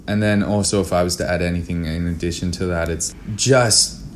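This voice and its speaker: A man's voice: low-pitched, fairly even intonation, a little fast, a little hiss or hum. A man speaking as an interview guest in a casual, candid, informal and blunt way. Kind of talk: casual chat.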